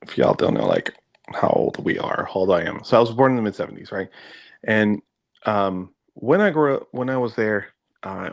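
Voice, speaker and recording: A man's voice: on the low side, gravelly, no echo. A man in his fifties from Venezuela, a native Spanish speaker, co-hosting a podcast and telling his own story in a rambling casual way, full of fillers and restarts. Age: 50s. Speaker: podcast co-host.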